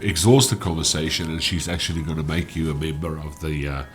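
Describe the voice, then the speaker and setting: A man from with a stuffy cold voice, giving relaxed gameplay walkthrough commentary. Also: a bass voice, brisk, faint music behind. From South Africa. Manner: relaxed, explanatory, with frequent "uh" fillers. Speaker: gaming channel host.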